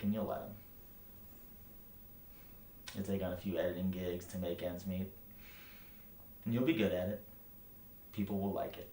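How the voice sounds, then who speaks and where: An actor playing a bitter, brutally frank writing teacher in a theatrical monologue, speaking with scorn, emphasis and profanity. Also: male voice, low voice, a little mellow. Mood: bitter, resigned. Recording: roomy echo, light background noise.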